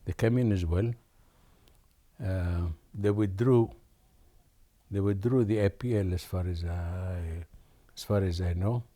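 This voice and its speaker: An older man from Aden, Yemen, talks through his memories at an unhurried pace. His voice is low and warm, and he often pauses and restarts his sentences as he recalls what happened. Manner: slow, reflective, with many restarts and pauses. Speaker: interviewee. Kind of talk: oral history interview.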